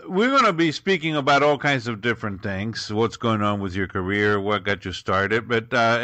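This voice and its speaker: A man's voice: medium pitch, pushed and bright, no room sound. A man hosting an interview show, asking questions in an upbeat way with some hesitations.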